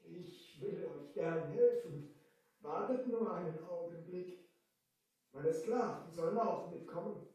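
A man's voice: high voice, slow, warm tone, recorded from a distance. An actor playing a solemn old male sorcerer in a vintage radio play, speaking in a stately, formal manner. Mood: grave, knowing. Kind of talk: 1970 German audio drama acting. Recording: echoey vintage LP, off the mic.

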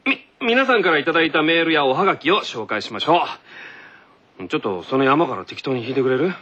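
Man playing brash teenage tennis boy, raspy voice. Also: a little high, lively intonation, fast talker, sharp tone, voice pushed down, heavily acted. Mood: awkward, gruff. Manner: blunt, rough boyish talk. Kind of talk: anime character radio skit. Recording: dull lo-fi radio recording.

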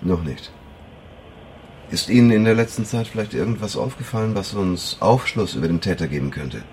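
An actor playing a middle-aged police detective, terse, husky and weary, questioning insistently in a crime radio drama. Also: male voice, sits low, expressive, moderate pace, darkish tone, slight background noise. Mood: businesslike.

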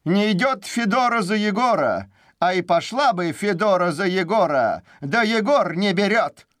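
A man's voice: sits high, normal speed, no room sound, studio quality, voice pushed up. A man voicing a proud, fickle crane courting in a folksy, courtly way in an animal tale. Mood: huffy, dismissive. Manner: folksy, courtly, expressive intonation.

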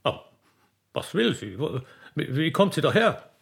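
Guy doing a vain dopey austrian courtier, raspy n creaky, haughty but stammers a lot, radio comedy. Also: a little high, sing-song, a little slow, fairly bright tone, pitched above the performer's own voice, full character voice. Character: pompous, vain, dim-witted male court official, easily flustered. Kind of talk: theatrical comic radio-play acting.

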